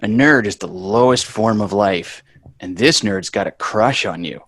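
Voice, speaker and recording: A man's voice: fairly low pitch, unhurried, fairly bright tone, no echo. A man playing a bratty, exasperated young boy in a sitcom table read, brash and sarcastic. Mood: mocking, explaining.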